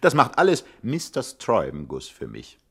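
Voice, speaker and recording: An actor playing a charming, self-satisfied gangster in a jaunty 1960s radio comedy manner, savouring each phrase. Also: male voice, mid-range voice, huge pitch swings, normal speed, fairly bright tone, slightly rough, exaggerated voice. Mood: smug, breezy.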